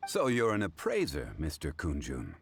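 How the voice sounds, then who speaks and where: A man voicing a calm, learned and modest gentleman who speaks formally and courteously. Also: rumbling low, normal speed, slight background noise, full character voice. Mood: composed. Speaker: funeral parlor consultant. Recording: game dialogue over music.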